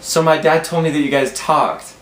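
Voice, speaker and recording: A man's voice: a little high, little pitch movement, normal speed. A man in his twenties with an American accent, telling a story casually and animatedly, quoting dialogue as he goes. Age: 20s. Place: small room at home, indoors. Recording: roomy echo, faint background noise.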